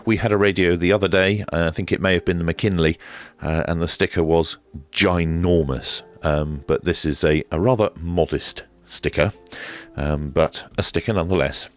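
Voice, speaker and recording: A man presenting a hands-on product review in a casual, hesitant way with dry, understated humour. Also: sits low. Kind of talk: casual hands-on unboxing commentary.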